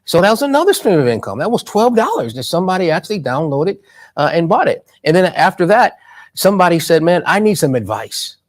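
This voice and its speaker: A man's voice: high-pitched, very animated, fairly quick. Emphatic man with a preacher-like cadence. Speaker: guest, money coach. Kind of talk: interview conversation, motivational.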